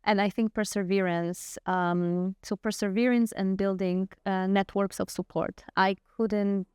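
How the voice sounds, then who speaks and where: A woman's voice: medium pitch, level tone, measured pace, a little mellow, smooth, no echo, clean studio sound. A middle-aged woman from Poland with a Polish accent, speaking earnestly and frankly as an interview guest, often restarting her sentences. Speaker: guest scientist. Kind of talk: conversational interview answers.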